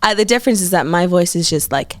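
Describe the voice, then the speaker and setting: A woman singer as a podcast guest, telling stories in a relaxed, chatty way with lots of "like". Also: lowish voice, fast, no echo, studio quality. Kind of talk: casual interview chat.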